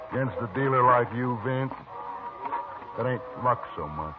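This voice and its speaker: An actor playing a swaggering, tough old-west card player in a 1950s radio western, taunting and sure of himself. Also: male voice, mid-range voice, lively intonation, slow pace. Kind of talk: 1950s radio drama acting. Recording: tinny old radio transcription with music.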